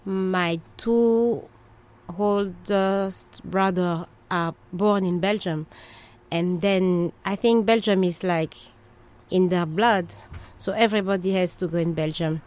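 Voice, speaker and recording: A woman's voice: on the low side, takes it slowly, darkish tone. A woman from the Democratic Republic of Congo with a Congolese French accent, telling her life story as an interview guest in hesitant, short English phrases. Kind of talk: conversational personal life story.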